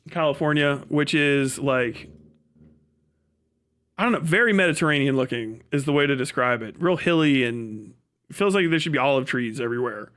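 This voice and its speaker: A man's voice: a little high, fairly bright tone. An American man live streaming, telling rambling, casual stories in profane, slangy language with comic exaggeration. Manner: breaks into laughter at own stories.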